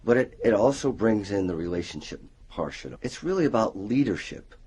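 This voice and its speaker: A man, a college speech professor, explaining a program in an interview with animated enthusiasm. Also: on the low side, fairly quick, slightly rough. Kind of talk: interview answers.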